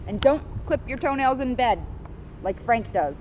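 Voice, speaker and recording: A woman's voice: fairly high pitch, expressive, moderate pace. A woman police officer reading a children's book aloud outdoors, expressively, with chatty asides. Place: outdoors in a sunny spot by a school. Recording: dull, faintly noisy outdoor recording.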